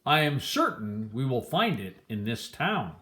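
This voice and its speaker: A woman voicing a hungry, showman-like traveler in a storybook, proclaiming in a drawn-out way. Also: very deep voice, very animated, background noise, voice pushed down, heavily acted. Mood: grand, showy. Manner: loud, slow, drawn-out proclaiming. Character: two hungry, hearty, showman-like travelers selling magical soup.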